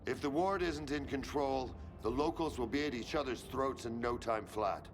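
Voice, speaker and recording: A man's voice: medium pitch, normal speed, close to an everyday voice. Man acting brusque military colonel, noisy background. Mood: commanding, sardonic. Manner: brusque, commanding, profane, sarcastic. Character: gruff, short-tempered military colonel, commanding and sarcastic. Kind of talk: video game voice acting.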